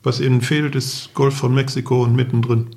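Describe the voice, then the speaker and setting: Creaky-voiced middle-aged man telling anecdotes. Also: medium pitch, flat delivery, fairly quick, a little mellow. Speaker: podcast guest, travelling father. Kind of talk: casual anecdotal storytelling.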